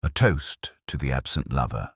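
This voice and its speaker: A man playing a brooding, erudite middle-aged detective, narrating an audio drama in measured, reflective, literary phrases. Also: rumbling low, animated, vocal fry, husky, no room sound, clean studio sound, full character voice. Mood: brooding, wistful. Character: brooding, erudite male English police detective, melancholy, observant.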